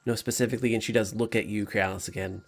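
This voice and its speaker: Casual game master, low creaky male voice. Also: fairly even intonation. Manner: casual, halting. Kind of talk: improvised tabletop game narration.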